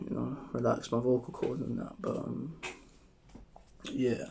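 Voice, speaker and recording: A young man with a sore, strained throat, talking casually to camera in a rambling, profane monologue while trying to keep his voice deeper. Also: creaky, a little hiss or hum. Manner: rambling, profane, relaxed and deliberately deeper delivery. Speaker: vlogger.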